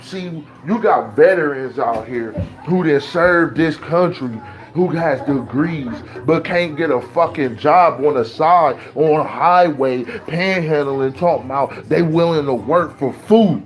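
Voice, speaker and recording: A man's voice: fairly high pitch, unhurried. A man from Ohio, ranting casually in loose, slangy talk and preaching his views. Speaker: beatmaker talking to camera. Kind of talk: casual rant.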